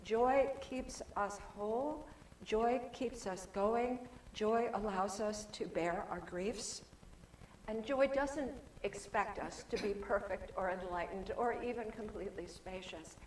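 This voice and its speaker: Soft-spoken woman in an echoey hall. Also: medium pitch, takes it slowly. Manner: soft-spoken, warm and chatty with restarts. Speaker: guest speaker. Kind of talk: informal sermon talk. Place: church sanctuary. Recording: echoey hall with faint room noise.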